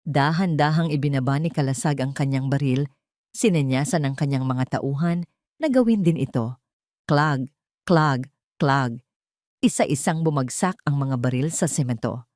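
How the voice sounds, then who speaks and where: A woman's voice: very deep voice, a little slow, darkish tone, no room sound, clean studio sound. A woman narrator reading a scripted story in a dramatic, suspenseful way.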